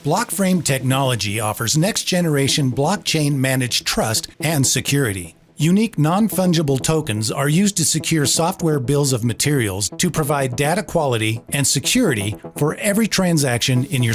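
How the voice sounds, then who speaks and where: A man reading a scripted ad in a smooth, even promotional delivery. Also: mid-range voice. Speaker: ad announcer. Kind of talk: scripted ad read.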